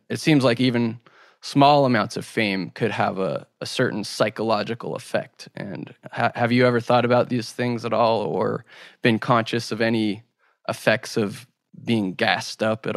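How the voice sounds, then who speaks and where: A man hosting a podcast interview, asking long conversational questions in a measured, thoughtful, tentative way. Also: fairly low pitch, dry recording, studio quality.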